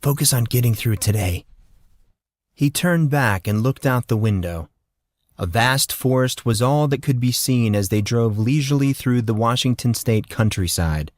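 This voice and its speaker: A man's voice: medium pitch, animated, moderate pace, no echo. A man narrating scripted fiction as an audiobook storyteller.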